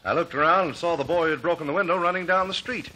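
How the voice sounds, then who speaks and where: A man's voice: on the high side, racing, bright, forceful tone, rough recording. An actor playing an earnest, easily flustered eyewitness in an old-time radio courtroom drama, hesitant as he answers. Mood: certain. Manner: hesitant, stammering under questioning.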